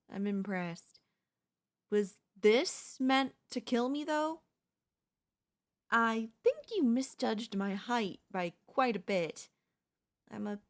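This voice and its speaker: Slow-talking, cocky zombie girl, acted by a woman. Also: mid-range voice. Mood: amused, impressed. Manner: casual, playful, taunting, slangy, drawn-out delivery. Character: chatty, teasing, cocky female zombie hunting a human survivor. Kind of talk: comedic audio roleplay monologue to the listener.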